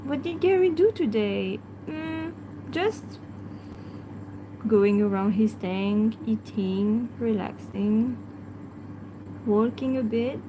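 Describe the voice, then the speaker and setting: French-accented woman hosting a pet livestream, slightly high voice, slow and hesitant, background noise and passing cars. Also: expressive. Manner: hesitant, frequent fillers, talks softly to her pet. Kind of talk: casual livestream chat answering viewers.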